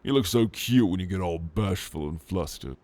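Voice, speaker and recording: A man playing a flirtatious, protective orc in an intimate ASMR roleplay, with a teasing, casual and gentlemanly manner. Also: sits low, expressive, moderate pace, dry recording. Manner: teasing, intimate, casual drawl with soft chuckles. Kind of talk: ASMR roleplay addressed to the listener.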